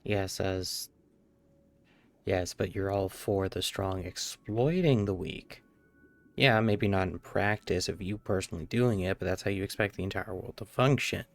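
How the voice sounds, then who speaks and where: A man's voice: sits low, unhurried, creaky. A man livestreaming a game, giving casual, skeptical commentary and arguing back at the story. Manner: argumentative, skeptical.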